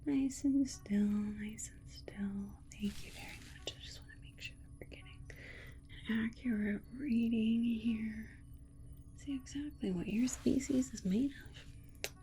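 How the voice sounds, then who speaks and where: A woman's voice: fairly high pitch, a little slow, mellow, faint music behind. Whispering, condescending alien scientist played by a woman. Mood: gently instructing. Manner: patronizing, soothing, hesitant murmured repetitions. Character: condescending, sweetly reassuring female alien scientist examining a human. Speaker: ASMR performer. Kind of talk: ASMR roleplay, softly whispered.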